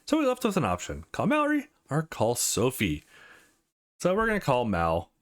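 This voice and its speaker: A man's voice: medium pitch, wildly swooping pitch, normal speed. A man hosting a gaming channel, narrating and reading a visual novel aloud in an informal way.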